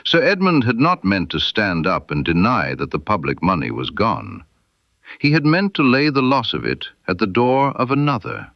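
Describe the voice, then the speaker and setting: A man reading a scripted detective audiobook as Dr. Watson, in a measured, dramatic storyteller's manner. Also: fairly low pitch, wildly swooping pitch, dry recording, dull audio. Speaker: audiobook narrator.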